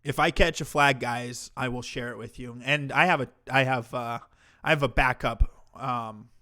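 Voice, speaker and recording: A man's voice: medium pitch, steady tone, moderate pace, bright, forceful tone. A man hosting a livestream, reacting to a clip with mocking, profane commentary. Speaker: commentary streamer host.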